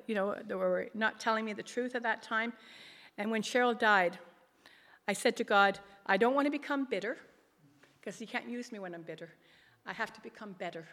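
A woman's voice: fairly high pitch, a bit bright. A middle-aged woman with a big, emphatic voice, giving an earnest, rambling personal testimony as a lay ministry leader. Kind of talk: personal testimony at a church gathering. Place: large reverberant room, speaking to an audience. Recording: reverberant hall.

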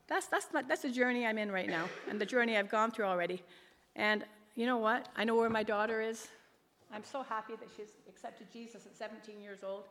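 A woman's voice: on the high side, brisk, a bit bright. A middle-aged woman with a big, emphatic voice, giving an earnest, rambling personal testimony as a lay ministry leader. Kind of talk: personal testimony at a church gathering. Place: large reverberant room, speaking to an audience. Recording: reverberant hall.

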